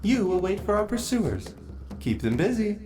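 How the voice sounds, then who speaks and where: A woman's voice: mid-range voice, sing-song, moderate pace, mellow, pitched above the performer's own voice, lightly acted. A performer voicing a cunning, imperious vampire queen in a haughty, theatrical story reading.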